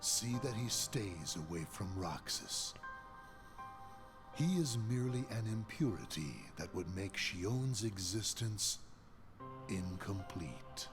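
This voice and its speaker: Slow, deep, calculating male leader. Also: lively intonation. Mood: cool, calculating. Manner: formal, measured, commanding. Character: cold, calculating male leader of a secret organization. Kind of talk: scripted video game cutscene acting. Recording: game cutscene audio with music.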